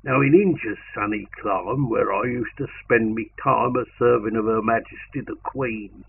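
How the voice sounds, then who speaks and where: Guy reciting a soldier poem dramatically, creaky voice, tinny phone-like sound. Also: lowish voice. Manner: theatrical, measured, with dropped-grammar colloquial delivery. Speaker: poetry reader. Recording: narrow tinny sound, faint noise.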